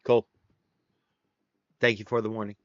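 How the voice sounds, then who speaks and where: Slow, low-voiced man rambling casually. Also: level tone, no room sound, highs cut off. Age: 30s. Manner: rambling, with many fillers and restarts. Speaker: livestream host. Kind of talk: casual chat with viewers.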